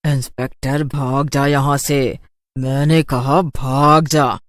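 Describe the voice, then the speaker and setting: A woman's voice: a bass voice, flat delivery, takes it slowly, no echo. A woman voicing a vengeful young female witch ghost in a horror cartoon, stretching her words threateningly. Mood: commanding threat. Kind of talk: Hindi horror cartoon voice acting.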